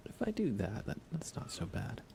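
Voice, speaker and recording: A soft-spoken man doing casual ASMR game commentary on a live stream, often slipping into whispers. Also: mid-range voice, very animated, moderate pace. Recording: clear background noise.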